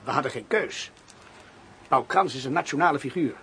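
A man's voice: medium pitch, moderate pace, close to an everyday voice. Actor as formal male Interpol inspector, noisy recording. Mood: sober, frank. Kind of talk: Dutch radio drama acting. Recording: noisy radio play recording.

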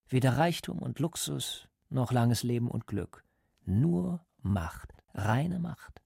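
An actor playing a calm, cold party interrogator in a radio drama, speaking in a measured, lecturing way. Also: male voice, mid-range voice, gravelly, husky, no echo, clean studio sound, full character voice. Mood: cold, emphatic. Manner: measured, didactic.

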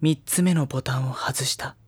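A man playing a reserved, dry high-school boy who narrates softly and breathily in an audio drama. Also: moderate pace, slightly rough. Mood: hushed, restrained. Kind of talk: first-person narration in anime audio drama.

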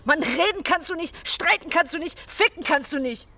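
A woman's voice: very high voice, expressive, moderate pace, bright, forceful tone, heavily acted. An actress playing a blunt, slangy young woman who is hurt and cutting toward her boyfriend in a naturalistic radio drama. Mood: angry, scornful. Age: young adult.

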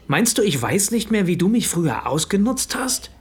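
An actor playing a brash, callous business partner in a radio play, blustering and jeering in a coarse manner. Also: male voice, high-pitched, big pitch swings, moderate pace, breathy edge, heavily acted. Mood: indignant. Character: brash, callous male business partner, crude and self-righteous.

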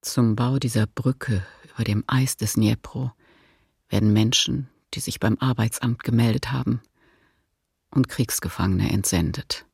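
Radio docudrama: grave male diary voice, husky, sober, dry studio sound. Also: medium pitch, unhurried, a little mellow, no room sound, exaggerated voice. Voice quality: husky, creaky. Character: grave wartime diary voice describing forced labour. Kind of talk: document reading in radio docudrama.

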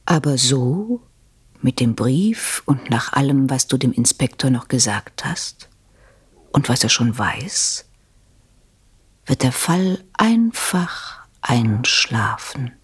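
An actress in a German radio play as a calculating wife who speaks softly and soothingly while coldly poisoning her husband, her voice husky and breathy. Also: rumbling low, sing-song, slow pace, full character voice. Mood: hushed, cold. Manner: soft, coaxing, controlled.

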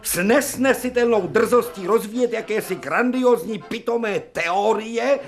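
An actor in a radio drama playing an irascible, educated professor, lecturing in a pompous, sardonic and formal manner. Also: male voice, high voice. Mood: indignant. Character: imperious, irascible, educated gentleman professor and physician.

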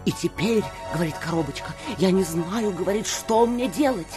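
An actress playing an excitable, breathless provincial society lady who gossips with gushing, theatrical alarm in an audio drama. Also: on the low side, normal speed, music in the background, lightly acted. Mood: flustered. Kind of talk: audio drama acting of classic literature.

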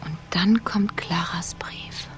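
A detective's wry sidekick in a radio crime drama, slightly low voice, dry and teasing, faint music behind. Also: female voice, slow pace, whispered. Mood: wry, curious. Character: wry woman, sceptical companion of a sleuth.

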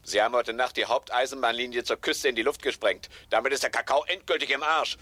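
Forscher, gerissener Spekulant mit heller Schärfe. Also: male voice, mid-range voice, fast, pushed and bright, dry recording. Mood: boastful, sly. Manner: mock-solemn, gleeful, coarse. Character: cynical, gloating cocoa speculator, sly wheeler-dealer. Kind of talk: 1970s German radio drama acting.

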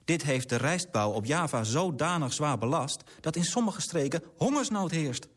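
An idealistic clerk in a radio play. He argues earnestly and formally in a slightly high, husky male voice. His intonation is very lively and his phrasing articulate. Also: normal speed. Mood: indignant, persuasive. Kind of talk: radio drama acting.

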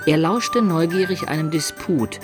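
An actor playing a storyteller who recounts his medieval adventures as measured radio play narration. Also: a little high, over music, lightly acted. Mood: recounting.

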